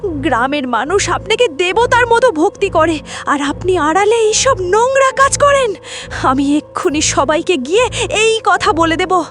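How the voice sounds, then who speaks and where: A woman's voice: extremely high pitch, animated, fairly bright tone, heavily acted. A woman playing the vengeful ghost of a wronged young village woman in an audio horror story, accusing and dramatic. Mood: shocked, outraged. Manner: accusing, dramatic, slow and drawn out.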